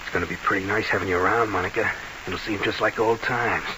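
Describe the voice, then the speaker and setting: A man's voice: lowish voice, steady tone, fast talker, close to an everyday voice. An actor playing a nervy, scheming nightclub boss in a 1940s radio crime drama, with slangy tough-guy talk. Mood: conspiratorial. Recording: hissy old radio recording.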